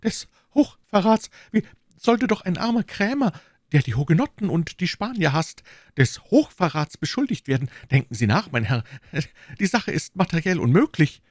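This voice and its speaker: A man's voice: medium pitch, sing-song, normal speed, no echo, studio quality, pitched above the performer's own voice. A man voicing a timid, frightened middle-aged shopkeeper in an audiobook, trembling and pleading as he is questioned. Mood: shocked protest. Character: timid, frightened fifty-one-year-old shopkeeper under interrogation. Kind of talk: acted audiobook dialogue.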